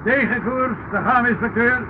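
An actor playing an obedient, eager police boat sergeant in a Dutch radio play, calling out deferentially. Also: male voice, extremely high pitch, moderate pace. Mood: dutiful. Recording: tinny, very noisy old radio recording.

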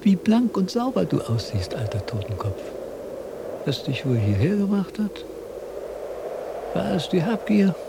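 An older actor playing a vain, grumbling old wandering philosopher in a radio drama, declaiming theatrically and questioning himself. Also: male voice, high voice, big pitch swings, measured pace, vocal fry, pauses often, full character voice. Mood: musing. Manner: declamatory, self-questioning, cantankerous. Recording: noisy 1970s radio drama with sound effects.

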